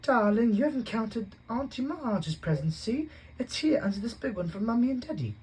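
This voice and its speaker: A man voicing a shrill, bossy middle-aged aunt who screeches and snaps. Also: sing-song, moderate pace, a little mellow, breathy edge, full character voice, low-quality recording. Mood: coaxing. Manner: screeching, snapping, fussing.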